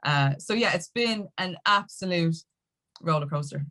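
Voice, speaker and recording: Irish woman, lowish pitch, chatty, emphatic, informal interview guest. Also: measured pace, pushed and bright. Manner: chatty, emphatic, peppered with "like" and "you know". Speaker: interview guest, music industry activist. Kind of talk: casual conversational interview.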